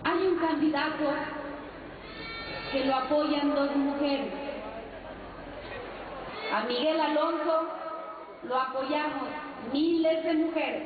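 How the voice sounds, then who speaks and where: A woman's voice: high-pitched, steady tone. A middle-aged Mexican woman reading a declamatory campaign speech on an outdoor stage as a political candidate. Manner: declamatory, slow emphatic delivery. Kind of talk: campaign rally speech read from a paper. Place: outdoor stage at a large rally. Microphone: stand mic, recorded from far through PA. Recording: echoey PA sound from far off, tinny, crowd noise.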